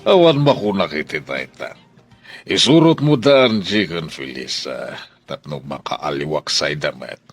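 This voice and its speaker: A man's voice: a bass voice, lively intonation, unhurried, heavily acted. A man acting in a radio drama as a patient, mild father who sounds weary of his household, with music behind him.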